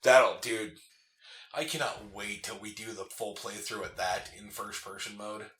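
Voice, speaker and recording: A man's voice: lowish voice, a little slow, fairly bright tone. A man co-hosting a game playthrough with casual, joking banter that often pokes fun at himself. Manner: joking, self-deprecating. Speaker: co-host of a video game playthrough. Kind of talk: casual banter over gameplay.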